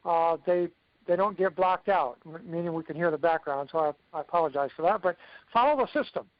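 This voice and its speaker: A man's voice: fairly high pitch, fairly quick, no echo. A man speaking as a success coach, giving an instructive motivational training talk to his team on a conference call and often checking in with "okay". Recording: dull lo-fi conference call audio.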